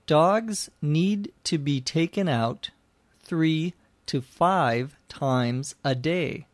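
A man speaks extremely slowly in a slightly high voice, recorded close and dry. He phrases everything with deliberate care, as the host of a lesson podcast reading and explaining for language learners. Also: no echo. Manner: deliberate, carefully phrased delivery. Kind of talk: slow scripted narration and explanation for English learners.

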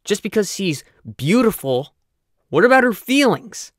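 A man's voice: high-pitched, big pitch swings, measured pace, fairly bright tone, dry recording, studio quality, exaggerated voice. A man voicing a hot-headed, protective young hedgehog hero in a cartoon comic dub, dramatic and earnest. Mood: challenging. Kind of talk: fan comic dub acting.

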